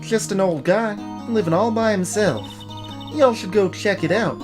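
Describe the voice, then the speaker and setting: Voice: mid-range voice, measured pace, over music, close to an everyday voice. A let's play host voicing a brash, folksy young woman with an American Southern drawl. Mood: resigned.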